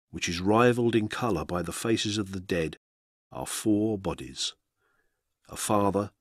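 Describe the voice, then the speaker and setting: A man narrates a historical account for radio in a solemn, measured way. His voice sits a little low and has a husky grain. The recording is dry and close, as in a studio. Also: fairly bright tone, no room sound. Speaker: narrator reading true-crime history.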